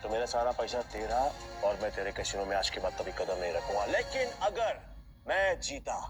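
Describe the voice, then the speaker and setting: A man's voice: lowish voice, sing-song, normal speed, music in the background. An actor playing an arrogant, mocking male casino gambler in a Hindi audio drama, taunting and swaggering. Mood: smug. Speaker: villain gambler Anthony.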